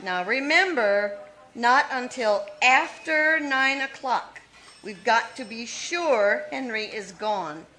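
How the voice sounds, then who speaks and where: A woman's voice: fairly high pitch, lively intonation, slow pace, sharp tone, a little hiss or hum, exaggerated voice, rough recording. A woman playing a ruthless, scheming young woman who plots a murder, in 1940s radio acting style, cold and commanding. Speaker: radio play actor.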